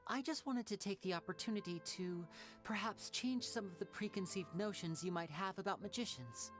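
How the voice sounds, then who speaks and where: A man voicing a charming, playful young stage magician in a game, smooth and theatrical. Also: sits high, normal speed, fairly bright tone, faint music behind. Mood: suave. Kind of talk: game cutscene voice acting.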